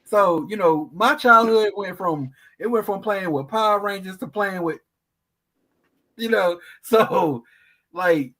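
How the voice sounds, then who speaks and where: Brief: man in his thirties, candid conversational interviewer, slightly high voice. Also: slow, sharp tone, rough recording. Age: 30s. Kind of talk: casual interview.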